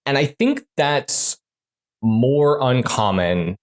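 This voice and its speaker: A man's voice: mid-range voice, lively intonation, takes it slowly. Chatty man, a game designer guest. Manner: chatty, many fillers and restarts. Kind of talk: casual interview conversation.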